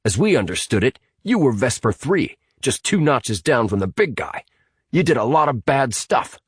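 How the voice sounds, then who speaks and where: Audiobook role, male reader: confrontational teenage lad, blunt, scornful, very lively delivery in a dry close recording. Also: medium pitch, normal speed, slightly rough, dry recording, voice pushed up. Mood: hostile, scoffing. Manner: blunt, scoffing.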